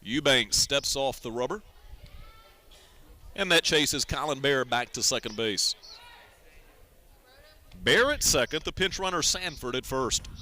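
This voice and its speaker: Bright, forceful male baseball radio announcer. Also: medium pitch, lively intonation, unhurried, long pauses. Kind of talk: live radio sports commentary.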